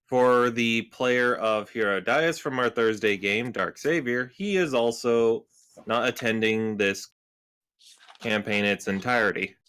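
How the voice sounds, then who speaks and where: A man leading a tabletop game on a live stream as game master, halting and deliberate, with many pauses and fillers. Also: medium pitch, fairly bright tone, smooth.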